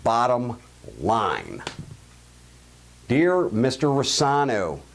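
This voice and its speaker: A man with a Baltimore accent hosting his own show, giving blunt, jokey opinions and answering listener emails. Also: medium pitch. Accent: Baltimore (Highlandtown). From Baltimore, USA. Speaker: show host. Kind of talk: opinion monologue and listener mail.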